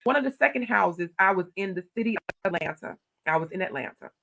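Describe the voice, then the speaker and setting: A middle-aged woman, a county commissioner telling stories in an animated, loud voice. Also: mid-range voice, quick pace, vocal fry, poor audio. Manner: animated storytelling, acting out her own quoted replies. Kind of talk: casual interview talk.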